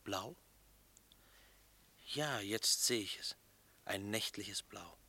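A brooding romantic in a poetic radio play. The low voice is very bright and forward yet rough-edged and husky, often near a whisper, with deliberate, intimate phrasing. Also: male voice, exaggerated voice. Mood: tender, quiet. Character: brooding, dreamy male lover speaking in dark poetic images. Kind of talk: German radio play acting, poetic and hushed.

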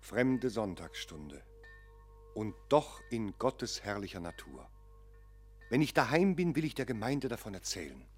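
A man in a German radio play acting a pious, kindly, naive village pastor with a husky voice. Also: lowish voice, sing-song, measured pace, soft background music, full character voice. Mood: devout.

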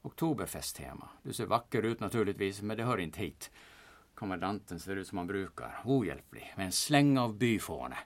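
A man playing a gruff, cheeky, stubborn middle-aged artist in an old-time radio comedy, blustering and declaiming with sardonic bite. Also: sits low, big pitch swings, full character voice. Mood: dry, appalled.

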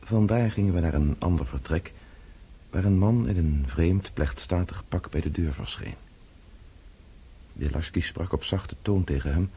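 Earnest, deep-voiced searching count. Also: male voice, mellow, husky, muffled recording, exaggerated voice. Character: earnest, searching young count, anxious to reform himself. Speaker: radio drama actor. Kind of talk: radio drama acting and first-person narration.

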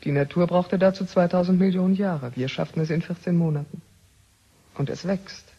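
Proud male scientist, raspy, slightly high voice, old science fiction radio play. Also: moderate pace, dark tone. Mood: proud, matter-of-fact. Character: proud male scientist coaching his artificial creation. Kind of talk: 1970s German radio play acting. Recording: muffled vintage radio recording.

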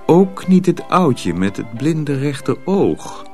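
A man's voice: on the high side, big pitch swings, slow, dark tone, soft background music. A man narrating a radio drama as a scripted storyteller.

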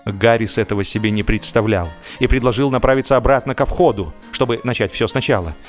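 Male narrator, medium pitch, theatrical humorous storytelling with measured pauses, light music underneath. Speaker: audiobook narrator.

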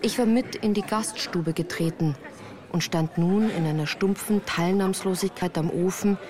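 A woman playing a melancholy narrator who recounts her hard youth in a measured, earnest radio drama style. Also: lowish voice, a crowd in the background, lightly acted. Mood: somber, measured.

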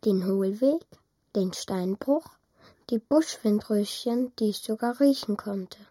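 Small curious boy, slightly husky, earnest, simple delivery, dry close recording, audio drama. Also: mid-range voice, takes it slowly, mellow, no echo. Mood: wondering.

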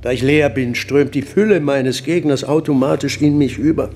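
An actor playing an old Daoist master in a radio drama, calm, cryptic and sardonic, with a gravelly voice. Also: male voice, fairly high pitch, normal speed, slight background noise, close to an everyday voice. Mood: serene.

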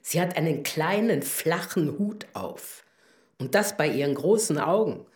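Female servant, blunt, gossipy, colloquial, very animated intonation, roomy interior, radio drama. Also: low voice, measured pace. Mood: mocking. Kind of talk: German radio play acting.

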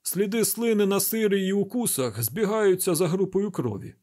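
Man acting exacting, husky medical examiner. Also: sits high, animated, normal speed, mellow, voice pushed up. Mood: controlled, explanatory. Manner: formal, precise, lecturing. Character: exacting, testy male chief medical examiner.

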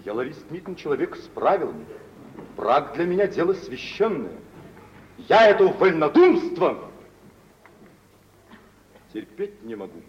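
Smug charming gentleman for an old radio drama, theatrical and condescending, really lively intonation, dull hissy sound. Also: a little high, moderate pace, pauses often. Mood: smooth, ironic. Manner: theatrical, ironic, smoothly condescending. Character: suave, self-assured gentleman shipowner, mocking and imperious. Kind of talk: mid-20th-century Russian radio theatre acting.

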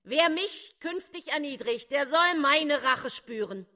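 A woman's voice: high-pitched, expressive, takes it slowly, pushed and bright, exaggerated voice. An actress playing a wounded, vengeful, impassioned poor woman in a German radio play.

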